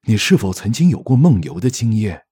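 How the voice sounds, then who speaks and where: Deep, expressive, kindly gentleman father. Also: fairly quick, breathy edge. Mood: gentle, courteous. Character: worried, kindly, courteous middle-aged gentleman father. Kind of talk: radio drama acting.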